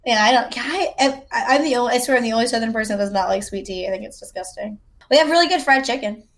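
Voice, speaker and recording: Playful American woman with a higher voice. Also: fast talker. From South Carolina, United States. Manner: playful, self-deprecating joking. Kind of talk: casual chat.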